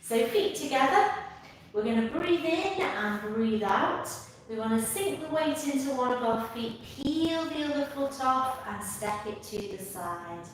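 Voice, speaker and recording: A woman instructor leads a live tai chi class, giving calm, measured step-by-step cues and repeating her phrases. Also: sits high. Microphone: far from the speaker. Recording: distant mic in echoey room, faint noise.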